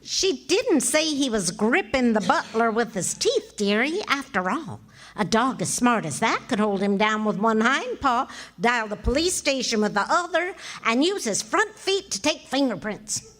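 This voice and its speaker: Sharp-witted middle-aged radio wife, played by a woman; high, animated voice, affectionate scolding, dry teasing. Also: unhurried, exaggerated voice. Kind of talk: 1940s radio comedy acting.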